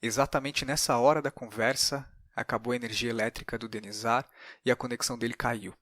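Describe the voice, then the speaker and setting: Bright, dry-recorded Brazilian male podcast host. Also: mid-range voice, steady tone, fairly quick, no echo. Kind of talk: reflective podcast narration and interviewing.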